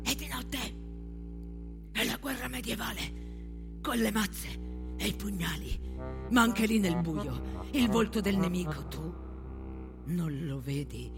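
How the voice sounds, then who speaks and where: An actress performing as an impassioned storyteller of war horrors, declaiming a theatrical monologue in an intense, incantatory way. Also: female voice, mid-range voice, lively intonation, slow, creaky, long pauses. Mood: dark, solemn. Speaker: stage actress and narrator. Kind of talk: theatrical dramatic monologue set to music. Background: music.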